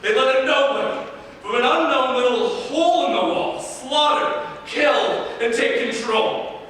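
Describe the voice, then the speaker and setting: A man plays a sneering, bitter schemer on stage, high-voiced for a man and bright. He gloats theatrically and mocks his enemies. The recording is distant, in a big echoing hall. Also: measured pace. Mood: furious, scornful. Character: gloating bitter villain prince turned vengeful schemer. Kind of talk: staged play reading. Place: large echoing room, staged reading. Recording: echoey hall from far, faint noise.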